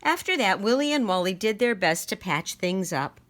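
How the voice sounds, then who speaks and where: A woman teaching young children, reading aloud and sounding out letters and words deliberately. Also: mid-range voice, big pitch swings, a bit bright. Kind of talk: storybook read-aloud and phonics lesson.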